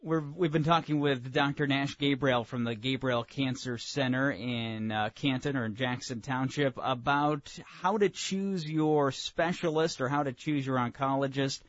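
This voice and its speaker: A man's voice: mid-range voice, a little slow, few pauses. A man with an American accent hosting a conversational radio interview, agreeable and a little hesitant in his phrasing. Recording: dull, dry radio audio.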